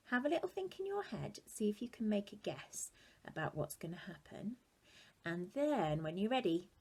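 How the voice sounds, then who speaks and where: Animated teacher reading to kids. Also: female voice, medium pitch, slightly rough. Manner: animated, questioning, drops to a whisper. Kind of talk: reading a picture book to children.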